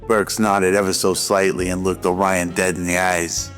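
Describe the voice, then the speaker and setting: A man's voice: deep voice, level tone, moderate pace, a bit bright. A man reading scripted narration for a radio drama. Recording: long reverb over faint music.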